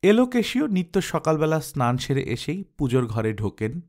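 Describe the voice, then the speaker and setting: A man's voice: a little high, sing-song, normal speed, a little mellow. Male storyteller reading dramatically. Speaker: audiobook narrator.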